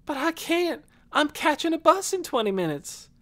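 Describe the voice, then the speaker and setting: Woman acting a high-pitched, tearful, pleading teenage girl. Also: very animated, moderate pace. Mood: regretful. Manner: plaintive, uneducated rural grammar.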